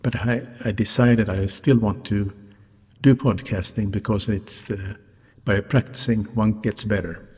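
A man who is a non-native English speaker hosts a solo podcast, articulating carefully and deliberately in a slightly raspy voice. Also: lowish voice, steady tone, a little mellow. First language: not English. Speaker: podcast host, hotelier. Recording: reverberant hall-like sound, music behind.

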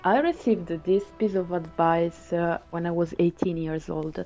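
A woman's voice: lowish voice, moderate pace, mellow, soft background music. A woman with an Italian accent, a guest chatting casually on a podcast, hesitating often with many fillers and laughs. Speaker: guest musician. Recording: dull lo-fi remote audio.

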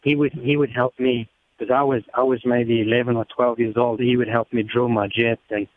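A man's voice: mid-range voice, flat delivery, normal speed. A South African man telling stories casually as an interview guest, often repeating words as he goes, over a narrow-band line. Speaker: motorcycle race team member and interview guest. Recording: muffled narrow-band phone or radio sound.

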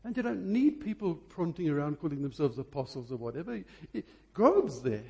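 Bright, husky-voiced male preacher. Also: fairly high pitch, big pitch swings, highs cut off. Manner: emphatic, deliberate phrasing with repetition for effect. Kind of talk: sermon.

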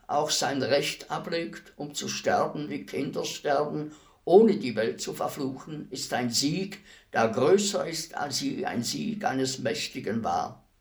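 Slow, grave, philosophical executioner. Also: male voice, fairly high pitch, creaky, exaggerated voice. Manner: measured, grave, deliberate declamation. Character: despised executioner, calm, philosophical killer addressing his victim. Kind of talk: solemn radio play monologue.

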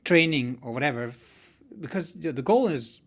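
Man interviewing in a halting way. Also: a little high, animated, fairly bright tone. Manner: halting, thinks aloud while summarising. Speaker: podcast interviewer. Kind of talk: conversational interview.

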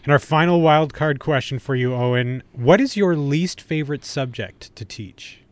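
A man's voice: a little high, normal speed, no room sound. A man co-hosting a podcast, asking short questions and chatting casually with his guest. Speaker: podcast co-host and interviewer. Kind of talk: casual quick-fire interview chat.

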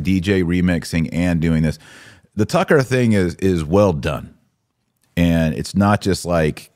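Man, low-pitched voice, live-stream host, casual news commentary, conversational, halting phrases, rhetorical questions. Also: a little mellow.

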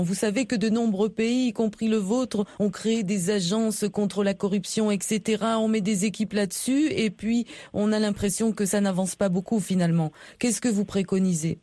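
A woman radio host with a mellow, soft-edged voice at a middle pitch. She puts composed, probing questions in a measured interviewing style. The sound is close and dry. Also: steady tone, no room sound.

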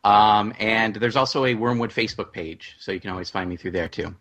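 Man, lowish pitch, bright crisp edge, casual panel guest. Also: a little fast. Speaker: guest writer-producer.

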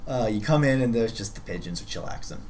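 A man running a tabletop roleplaying game, improvising scene narration in a halting, conversational way and often rephrasing mid-sentence. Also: on the low side, animated, a little mellow, a little hiss or hum. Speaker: game master.

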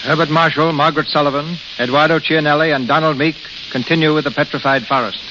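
A man's voice: fairly high pitch, steady tone, quick pace. A man announcing and narrating a radio play in a scripted, old-time style. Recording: muffled, hissy old radio recording.

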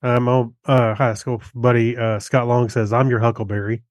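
A man with a middling pitch chats as a podcast co-host. His delivery is easygoing and somewhat halting as he reads things out, and the sound is dry with little room on it. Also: flat delivery, no room sound. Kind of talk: casual chat, reading out listener comments.

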